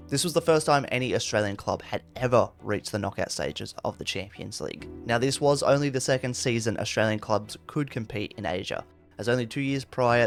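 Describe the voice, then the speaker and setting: Guy with lowish voice doing scripted soccer history narration, faint music behind. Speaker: narrator of a football history video.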